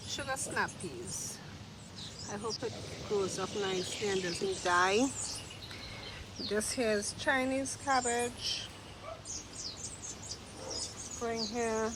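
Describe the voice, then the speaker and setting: Woman vlogger, nasal from a cold, slow and easygoing; outdoor take with clear birdsong behind. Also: mid-range voice, noisy background. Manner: slow, unhurried, pointing out plants one by one. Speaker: gardening vlogger. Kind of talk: casual garden tour narration. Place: outdoor garden. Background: birds singing.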